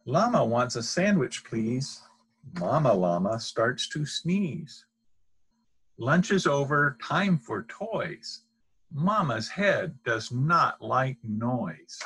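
A middle-aged man with a husky voice reads a children's picture book aloud in a deliberate, sing-song way. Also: medium pitch, very animated, fairly bright tone, a little hiss or hum. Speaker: storybook reader. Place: small indoor room.

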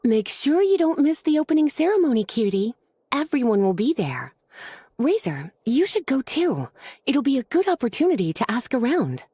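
Mellow, teasing witch. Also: sits high, sing-song, moderate pace, slightly rough, no echo, exaggerated voice. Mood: fond. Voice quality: velvety, soft. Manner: nurturing, coquettish, leisurely explanatory. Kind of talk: video game character acting.

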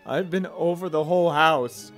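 A man acting in a radio drama as a muddled, anxious old uncle, halting and fretful. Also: fairly high pitch, fairly bright tone, faint music behind. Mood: despairing. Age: older. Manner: halting, fretful, repeating himself.

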